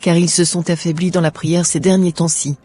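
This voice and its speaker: Husky, scheming young temptress played by a woman. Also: fairly low pitch, flat delivery, brisk, warm tone, faint music behind. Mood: confident, plotting. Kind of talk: scripted cartoon dialogue and narration.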